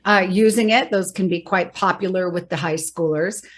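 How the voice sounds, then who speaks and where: A woman's voice: on the low side, moderate pace. A middle-aged woman, a bereaved mother and educator, explaining earnestly in a podcast interview and rattling off lists of facts.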